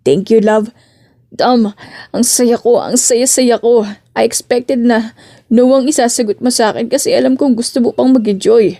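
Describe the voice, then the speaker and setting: Lady doing a devoted boyfriend, gentle n coaxing, kinda high for a guy, romance audiobook, very dry sound. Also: normal speed, no room sound, close to an everyday voice. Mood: overjoyed, loving. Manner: coaxing, affectionate. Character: devoted male suitor, gentle and pleading. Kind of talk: audiobook romance drama acting.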